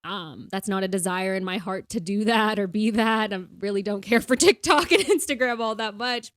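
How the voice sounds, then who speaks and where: A woman's voice: mid-range voice, fairly bright tone, dry recording. Chatty young woman, dry close sound. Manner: chatty, many fillers, laughs easily. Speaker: podcast co-host. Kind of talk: casual faith-based podcast chat.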